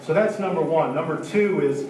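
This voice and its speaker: A man's voice: on the high side, moderate pace, a little mellow, slight background noise. An older man, a retired choir director and council member, giving an earnest, orderly candidate speech. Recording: echoey hall from far off.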